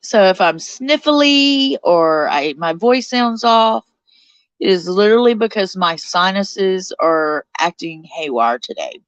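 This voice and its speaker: A woman's voice: medium pitch, lively intonation, slow pace, clear, resonant tone, dry recording, highs cut off. A woman in her forties hosting a casual live stream from a cold bedroom, chatty and a little stuffed up from her sinuses, reading out viewer comments. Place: cold bedroom under a blanket.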